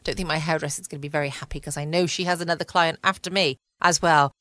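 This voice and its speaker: A woman's voice: low-pitched, steady tone, fast, fairly bright tone, dry recording. A woman with a British accent chatting casually as the host of a solo podcast. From United Kingdom. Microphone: close mic in a dry home room.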